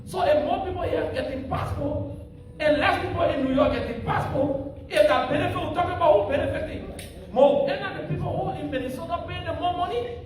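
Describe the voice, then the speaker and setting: A man's voice: very high-pitched, a little fast, darkish tone. A man, a preacher making a public comment at a community meeting, talking in an earnest, questioning way. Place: meeting hall. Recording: echoey hall, distant mic, noisy.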